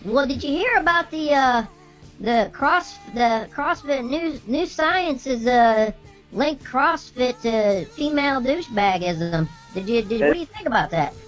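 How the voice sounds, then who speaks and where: A man voicing a cheeky, blunt comic sidekick host through a pitch shifter, stumbling through his questions. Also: animated, measured pace, a bit bright, soft background music, heavily acted, poor audio. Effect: pitch shifter raising the voice. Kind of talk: comedic talk show banter.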